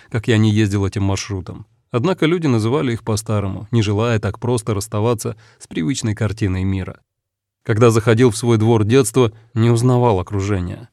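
A man narrating a first-person story in a calm, reflective, measured way. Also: mid-range voice, no echo, studio quality. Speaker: audiobook narrator.

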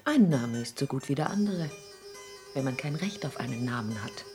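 A woman's voice: rumbling low, expressive, normal speed, a little mellow, soft background music. An actress playing a bitter, protective middle-aged mother in a radio play, with grave, emotional stage diction. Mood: bitter, defiant. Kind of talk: 1960s German radio play acting.

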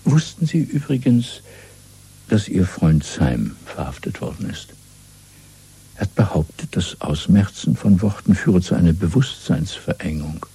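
An actor playing a calm, commanding middle-aged party official in a German radio play, speaking in a measured, didactic and coolly authoritative way. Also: male voice, low-pitched, slightly rough, heavily acted. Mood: urbane, lecturing.

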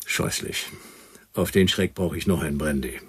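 A sarcastic detective in a radio play. The voice is very deep and a bit rough. Lines come out short, gruff and deadpan. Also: male voice, a little slow, exaggerated voice. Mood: gruff, sardonic. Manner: terse, deadpan. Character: gruff, sarcastic, money-minded male private detective. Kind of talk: radio drama acting.

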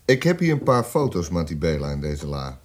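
A man acting in a radio drama as a cold, methodical police interrogator with a measured, official way of talking. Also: very deep voice, sing-song, heavily acted. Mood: cold, pressing. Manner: measured, insistent, official questioning. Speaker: actor.

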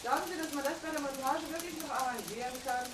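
A woman's voice: high voice, fast, close to an everyday voice. A woman playing a harried radio office worker in a 1960s radio play, in a distant, echoey, noisy recording. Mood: impatient.

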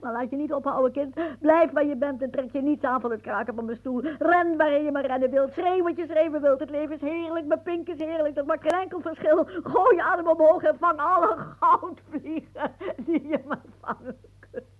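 Casting: woman as bitter, weary older aunt, fairly high voice, brooding radio-play delivery, narrow old recording. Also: moderate pace, close to an everyday voice. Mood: anguished, exalted. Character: weary aging aunt, bitter, musing, aching body. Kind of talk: radio drama acting, monologue-like.

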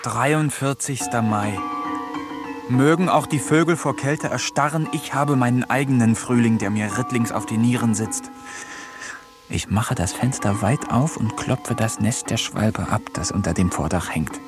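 A man's voice: mid-range voice, a little slow, music in the background, lightly acted. A man playing an eccentric village man who talks to animals, narrating a German radio play in a wry, musing first-person voice. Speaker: narrator and lead character.

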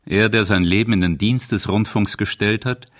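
A man's voice: fairly low pitch, fairly even intonation, moderate pace. A man reading scripted narration for a radio documentary. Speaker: feature narrator.

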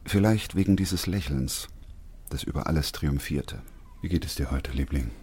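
Deep-voiced, restrained doctor. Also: male voice, normal speed, darkish tone, husky. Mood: tender, subdued. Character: weary, principled doctor narrating in grave, restrained manner. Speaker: lead actor and narrator. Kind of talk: radio drama acting and narration.

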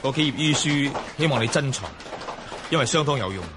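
A man's voice: mid-range voice, animated, a little fast, noisy background, natural voice. An actor playing a courteous, heroic young nobleman in a Cantonese radio drama, speaking formally and earnestly.

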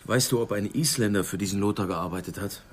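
A man's voice: on the low side, fast, slight background noise, lightly acted. An actor playing a terse, probing man questioning a witness in a German radio drama.

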